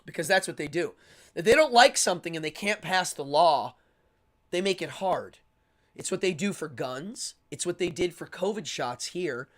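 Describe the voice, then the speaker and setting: A man hosting a live stream, talking casually and opinionatedly as he answers chat, rambling and repeating words in quick bursts. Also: on the high side, lively intonation, a bit bright. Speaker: live-stream show host.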